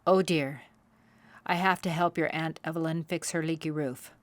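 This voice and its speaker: A woman's voice: sits low, steady tone, moderate pace, dry recording, natural voice. A woman voicing a wise old father owl in a storybook, stern and instructive, with emphatic delivery. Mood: hurried concern.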